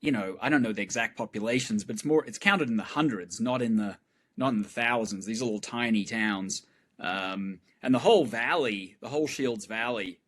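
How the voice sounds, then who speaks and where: A middle-aged man from Australia, a guest talking in a relaxed, rambling way with fillers. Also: fairly low pitch, fairly quick. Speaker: guest astrophysicist. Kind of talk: casual chat.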